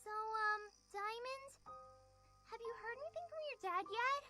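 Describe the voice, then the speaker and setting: A woman voicing a sweet little cartoon pony filly, caring and earnest, with a gentle manner. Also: extremely high pitch, a little slow, faint music behind, exaggerated voice. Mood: gentle, concerned.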